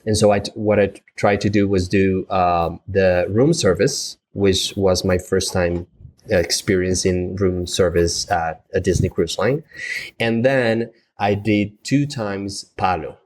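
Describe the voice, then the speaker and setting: Colombian man, native Spanish, deep voice, halting casual chatter. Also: steady tone, darkish tone. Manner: halting, many fillers and restarts. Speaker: travel agent, guest. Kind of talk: casual podcast chat.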